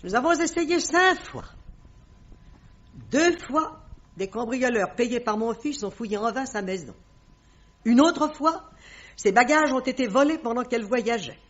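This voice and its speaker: High-voiced, imperious queen mother. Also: animated. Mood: anxious, insistent. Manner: haughty, formal, measured diction. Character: proud, imperious middle-aged queen mother, anxious and formal. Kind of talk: French radio drama acting. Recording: dull, band-limited old radio recording.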